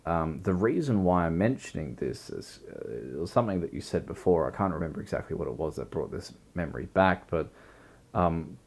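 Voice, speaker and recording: A man with a croaky voice, hosting a livestream and musing slowly through a philosophical chat that builds into long lists. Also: sits low, lively intonation, a little mellow. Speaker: livestream host.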